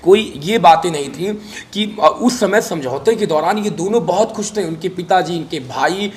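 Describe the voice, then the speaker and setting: A man's voice: high-pitched, moderate pace. A man giving unscripted interview answers in an emphatic way, repeating phrases for weight. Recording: noisy recording with background ambience.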